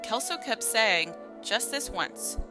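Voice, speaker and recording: A woman's voice: on the high side, expressive, takes it slowly. A woman playing a haunted young caller, telling her horror ordeal suspensefully in a radio drama. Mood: rueful. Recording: music under the voice.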